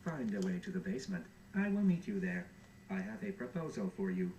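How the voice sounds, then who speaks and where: An actor playing a resentful, bargaining helper robot in a cartoon game, speaking in a wordy, formal, sardonic way. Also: male voice, fairly low pitch, very animated, a little fast, creaky, a little mellow. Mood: scheming. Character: hardworking, resentful, bargaining helper robot who collects figures. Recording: distant, echoey game audio with background noise.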